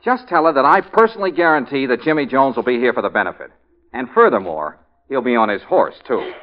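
An actor playing a brash, boastful but soft-hearted male investigator in 1940s radio comedy-drama style. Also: mid-range voice, fast talker, natural voice. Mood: earnest. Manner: brash, animated, self-important. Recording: dull old-time radio recording.

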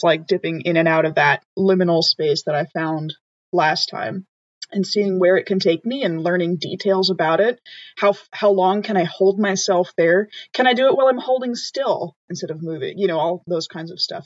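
A woman's voice: on the low side, no room sound. A woman playing a tabletop game, chatting casually as she thinks aloud about her character, on a slightly muffled microphone.

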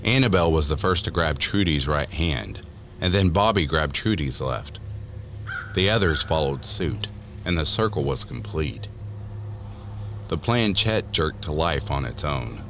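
A man with a gravelly voice narrating a scripted horror story in an ominous, deliberate manner. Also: a bass voice, lively intonation, a bit bright, slight background noise. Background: prominent music.

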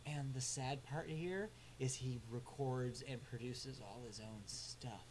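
A man's voice: medium pitch, slow pace, darkish tone, slight background noise, rough recording. A man co-hosting a casual album review chat, laid-back and hesitant with lots of filler sounds, delivering blunt, dry verdicts. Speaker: music review co-host.

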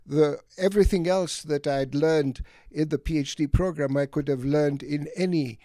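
An elderly man from Egypt and Greece, an academic guest reflecting on his life in a halting, deliberate way with many pauses. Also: on the high side, mellow, dry recording, studio quality. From Egypt and Greece, of Greek parents. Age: late 70s or older. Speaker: interview guest, economics academic. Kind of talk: reflective autobiographical interview talk.

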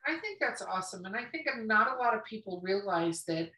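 A woman's voice: medium pitch, big pitch swings, fairly quick, sharp tone. A chatty, teasing woman hosting a casual podcast conversation over a video call from an echoey room. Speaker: podcast host. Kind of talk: casual chat, interviewing. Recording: roomy echo on voice.

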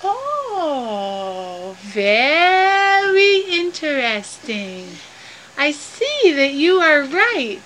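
A woman voicing an excitable, gullible, greedy male tree-climbing creature in a storybook tale, dramatic and drawing out words. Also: high-pitched, a little hiss or hum, heavily acted, poor audio. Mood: slow, bemused. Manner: dramatic, exclaiming, drawn-out words.

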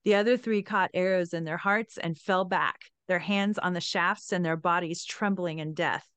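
Clear, low-pitched woman reading a story. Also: fairly even intonation, moderate pace, fairly bright tone, no echo. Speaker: podcast host. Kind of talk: story retelling and reading aloud from a book.

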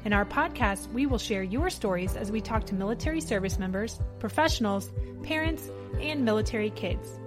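A woman's voice: medium pitch, expressive, moderate pace. A woman hosting a podcast, reading a scripted introduction. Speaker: podcast host. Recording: music behind the voice. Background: music.

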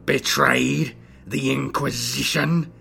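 A man voicing a scarred, corrupted older inquisitor in an audiobook, with a hissing, gravelly voice and a slow, grandiose, scornful delivery. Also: medium pitch, pushed and bright, soft background music, exaggerated voice. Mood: scornful, proud.

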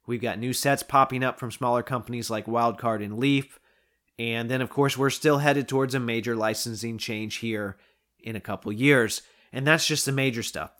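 A man's voice: mid-range voice, a bit bright. A man hosting his own podcast in casual solo talk, chatty and enthusiastic, getting sick and losing his voice. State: coming down with a cold. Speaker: podcast host.

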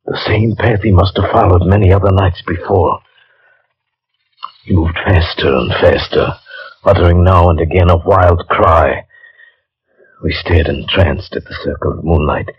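An actor playing a grave, intense adventurer in a 1940s radio horror drama, telling his tale in measured, ornate, dramatic phrases. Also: low-pitched, a little hiss or hum. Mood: entranced. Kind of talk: 1940s radio drama acting, first-person narration. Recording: muffled old 1940s radio recording.

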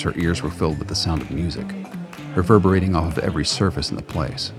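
A man reading scripted narration for a radio play as its storyteller. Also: sits low. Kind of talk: scripted audio drama narration. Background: music bed.

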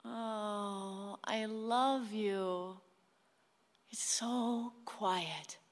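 An actress in a radio play playing a weary, sharp-tongued young wife and mother. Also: medium pitch, drawn-out words. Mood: tired, tender. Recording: long hall reverb.

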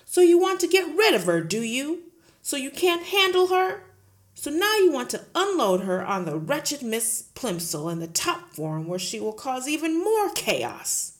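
A woman voicing a huge, bullying headmistress who shouts, barks and sneers at everyone. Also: high-pitched, very animated, measured pace, pitched above the performer's own voice. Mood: blustering. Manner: shouting, barking, scornful.

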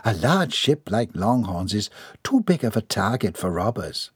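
A man voicing a formal, commanding mistress of a mansion, from Jamaica, who speaks primly and briskly. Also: on the low side, big pitch swings, slightly rough, dry recording, clean studio sound, heavily acted. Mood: proper.

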